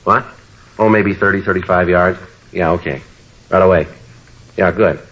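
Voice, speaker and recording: An actor playing a terse, polite, unflappable police sergeant in a 1950s radio drama, deadpan and clipped. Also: male voice, sits low, moderate pace. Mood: brisk, businesslike. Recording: dull old radio recording.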